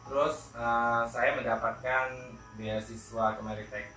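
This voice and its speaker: Male teacher as podcast guest, conversational and a bit halting, echoey room, slightly off-mic. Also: medium pitch, flat delivery, pushed and bright. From Palembang, South Sumatra, Indonesia. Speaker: interview guest, schoolteacher. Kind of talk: casual conversational storytelling. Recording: roomy echo, distant mic, faint music.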